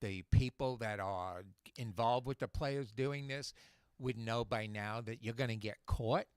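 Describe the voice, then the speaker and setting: A man's voice: medium pitch, clean tone, no room sound, clean studio sound. Long Island guy co-hosting radio. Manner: chatty, opinionated, quick short agreements. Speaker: radio co-host. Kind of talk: casual radio talk.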